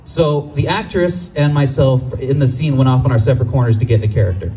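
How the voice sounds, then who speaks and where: A young man from Los Angeles performing a stand-up comedy set on an outdoor stage with a handheld mic, animated and jumping into high voices for the people he quotes. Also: fast, a little hiss or hum, poor audio.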